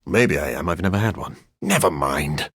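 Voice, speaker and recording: An actor voicing a brooding, dryly witty gentleman vampire scientist in a video game, with a formal, measured, theatrical delivery. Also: sits low, expressive, husky, no room sound, clean studio sound, full character voice. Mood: earnest, wistful. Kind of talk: scripted video game character lines.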